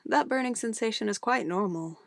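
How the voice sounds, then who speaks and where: A woman's voice: fairly high pitch, animated, fairly quick, gravelly, dry recording, studio quality. A woman playing an obsessive, controlling witch in an ASMR roleplay, a sweetly menacing lover who moves between coaxing endearments and firm commands. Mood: possessive, controlled.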